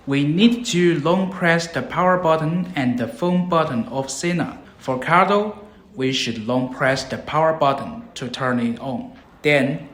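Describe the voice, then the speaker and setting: Slow-paced man narrating in a roomy space. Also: medium pitch, fairly bright tone. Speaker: product demonstrator. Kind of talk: scripted tutorial narration. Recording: roomy echo, background noise.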